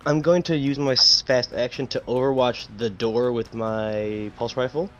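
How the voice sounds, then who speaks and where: A man playing a tabletop game, thinking his moves out loud in a halting, casual manner. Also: mid-range voice, fairly even intonation. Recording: dull lo-fi audio with music.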